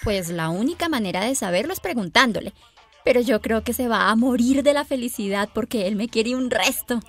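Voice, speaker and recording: A lovestruck seventeen-year-old girl, acted by a woman, speaks in a slightly high voice with a Colombian accent. She is headstrong and expressive in radio soap opera style, with music underneath. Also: a little fast, natural voice. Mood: dreamy, confident.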